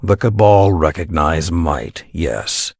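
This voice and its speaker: Very deep, husky grave soldier. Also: male voice, expressive, slow, exaggerated voice. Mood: thoughtful. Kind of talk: scripted game dialogue.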